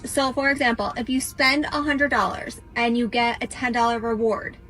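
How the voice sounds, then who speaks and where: A woman's voice: on the high side, moderate pace, bright, forceful tone, low-quality recording. A woman casually explaining her reasoning in a comic back-and-forth conversation.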